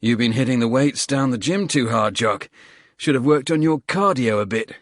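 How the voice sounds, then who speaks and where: A man's voice: mid-range voice, moderate pace, no echo, pitched above the performer's own voice, natural voice. A man voicing a tough, hungover soldier who talks in a dry, teasing way.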